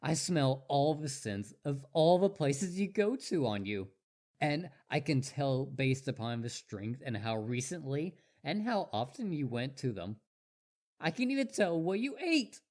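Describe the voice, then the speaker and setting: Casting: obsessive young wolf boy suitor played by a performer for audio roleplay; very lively intonation, eager, stammering, over-devoted. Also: mid-range voice, measured pace. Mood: eager, boastful. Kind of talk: roleplay audio drama monologue to listener.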